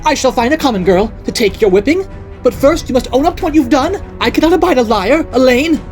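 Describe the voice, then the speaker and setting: A woman voicing an unhinged, jealous middle-aged noblewoman who rants and accuses in a shrill voice. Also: lively intonation, a little fast, a little mellow, faint music behind. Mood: accusing. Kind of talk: audiobook character voice.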